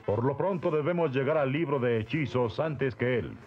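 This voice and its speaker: A man's voice: medium pitch, moderate pace, highs cut off, soft background music, natural voice. A man with a Latin American Spanish accent voicing a confident, good-natured muscular hero in a cartoon dub. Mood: composed, determined. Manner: assured, heroic, declarative.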